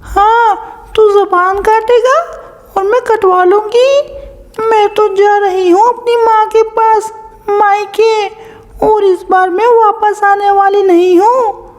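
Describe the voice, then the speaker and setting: A woman voicing a sharp-tongued young female crow in a cartoon, a quarrelsome wife with a scolding, defiant manner. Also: very high-pitched, fairly even intonation, slow. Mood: angry. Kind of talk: Hindi cartoon voice-over.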